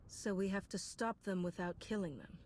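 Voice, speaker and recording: A woman playing a calm, resolute Jedi heroine in a video game, composed and firm in manner. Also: medium pitch, moderate pace, lightly acted. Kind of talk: video game dialogue acting. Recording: background noise and game ambience.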